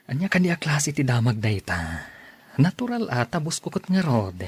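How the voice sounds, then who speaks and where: A man's voice: medium pitch, sing-song, normal speed, breathy edge, no echo. A man with an Ilocano accent acting an evasive, defensive husband in a radio drama. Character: evasive cheating husband, defensive, then pleading.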